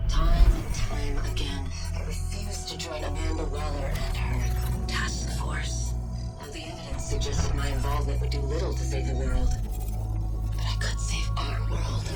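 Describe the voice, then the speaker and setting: A low female voice, slightly distant under loud music. An actress plays a coldly rational scientist from a video game, who speaks in measured, formal phrases with devotion held under the ice. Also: lightly acted. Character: coldly logical woman scientist devoted to her frozen wife. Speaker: lead character in a video game cutscene. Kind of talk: dramatic game cutscene narration and dialogue.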